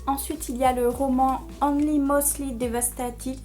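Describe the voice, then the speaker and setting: A young woman talking casually about books, pausing often and filling gaps with hesitant sounds. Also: sits high, level tone, music in the background. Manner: hesitant, many fillers. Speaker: book vlogger. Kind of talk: casual book chat.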